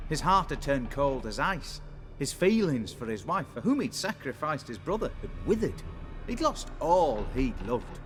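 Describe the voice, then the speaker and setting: A man's voice: on the high side, very animated, a bit bright. A man playing a learned, dramatic occult scholar in a video game, speaking cryptically and posing rhetorical questions. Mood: grim, rhetorical. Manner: cryptic, rhetorical, drawn-out phrasing. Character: learned, dramatic male occult scholar explaining evil pacts. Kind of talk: theatrical video game dialogue. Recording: music behind the voice.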